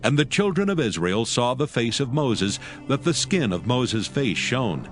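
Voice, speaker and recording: A man narrating scripture from a script in a measured, solemn manner. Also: mid-range voice, very animated. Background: faint music.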